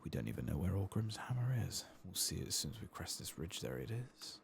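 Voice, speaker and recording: A soft-spoken man doing an ASMR game playthrough, hushed and sometimes whispering, musing in a reflective, hesitant way as he plays, close to the microphone. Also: sits low, big pitch swings, a little fast, gravelly, slight background noise. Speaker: ASMR gaming video host.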